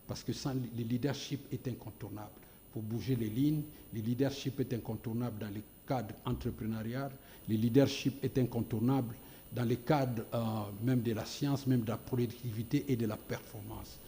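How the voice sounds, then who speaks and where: Hesitant man with a mellow voice. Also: medium pitch, steady tone, normal speed, in a large hall, a little hiss or hum. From Democratic Republic of the Congo. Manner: hesitant, many fillers and repeats, grows emphatic. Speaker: guest, biotech entrepreneur. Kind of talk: TV studio interview. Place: TV studio set.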